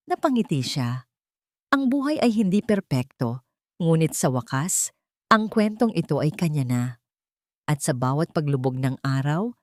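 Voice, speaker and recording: A woman with a Filipino accent reads a scripted dramatic story in a measured, earnest, literary manner. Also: low-pitched, dark tone, dry recording, clean studio sound. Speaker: story narrator.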